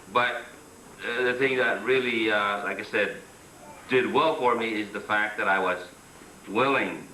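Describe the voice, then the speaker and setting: A man's voice: lowish voice, sharp tone, slight background noise. A man from the Philippines speaking in a plainspoken, reflective way on a symposium panel. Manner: plainspoken, reflective, with many fillers. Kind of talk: public talk at a community symposium. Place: community center hall, recorded from afar. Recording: echoey hall recording from far off.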